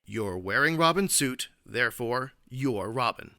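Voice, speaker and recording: Earnest superhero played by a man, formal, straight-laced, lively swoops, bright forceful tone, radio comedy. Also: mid-range voice, measured pace, no echo, clean studio sound. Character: earnest, stuffy adult male caped crime-fighting hero. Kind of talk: comedy radio play acting.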